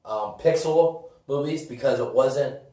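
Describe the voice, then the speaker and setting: A man's voice: mid-range voice, measured pace, warm tone. A man chatting casually about games and movies as a podcast co-host, in a rambling, loosely phrased way. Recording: echoey room, distant from the mic.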